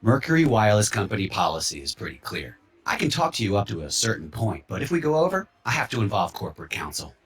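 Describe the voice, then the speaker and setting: Cagey company rep in a game, huskyish, animated pitch. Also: male voice, quick pace, hardly any pauses, breathy edge, exaggerated voice. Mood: guarded. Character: guarded, evasive male phone company employee. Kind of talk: video game dialogue acting.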